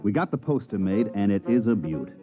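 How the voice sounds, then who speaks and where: An actor playing a fast-talking male swindler and promoter in a 1950s radio drama, who also narrates the story with slick salesman patter. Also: lowish voice, lively intonation, loud music behind the voice, natural voice. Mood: narrating. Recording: dull vintage radio recording.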